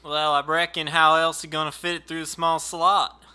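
A man playing a dim rural customer service rep on the phone, speaking in a put-on Southern drawl with a slow, stammering, folksy manner. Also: a little high, level tone, pushed and bright, clean tone.

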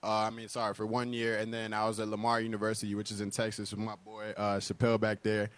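A man's voice: fairly low pitch, fast talker, dry recording. Laid-back young man from Hong Kong. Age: young adult. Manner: relaxed, lots of "you know". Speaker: college basketball player, guest.

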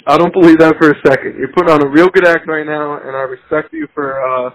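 Blunt, higher-voiced man bantering. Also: fairly even intonation, fast talker, no echo, poor audio. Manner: blunt, combative ribbing. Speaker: podcast guest, police officer. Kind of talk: profane bantering phone chat.

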